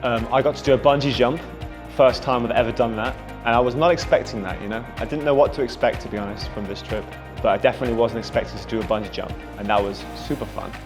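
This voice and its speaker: A man's voice: medium pitch, quick pace. A man in his twenties from the UK, giving chatty, upbeat interview answers about a trip. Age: 20s. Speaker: trip participant. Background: music.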